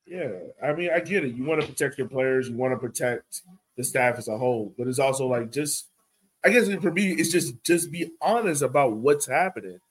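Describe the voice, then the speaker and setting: A man's voice: medium pitch, lively intonation, fairly quick, slightly rough. A man co-hosting a sports podcast, talking casually and emphatically, pressing his point by repeating it. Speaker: podcast host.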